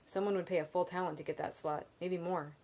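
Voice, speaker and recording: A woman reading the lines of a quick-witted, poor young male student, with dry, wry replies. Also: on the low side, fairly even intonation, darkish tone, natural voice.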